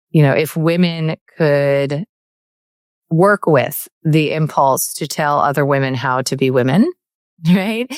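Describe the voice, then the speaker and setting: Woman podcast host, low voice, dry close sound, effusive, rambling, middle-aged. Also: smooth, dry recording. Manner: effusive, rambling, many "like" and "right". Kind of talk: interview introduction.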